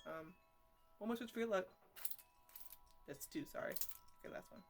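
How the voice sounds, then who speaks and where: A woman's voice: mid-range voice, moderate pace, loud music behind the voice, natural voice. A woman playing a teasing, slangy botanist who chats to her plants in a casual ASMR roleplay. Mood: playful, doting. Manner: rambling, bantering, slangy.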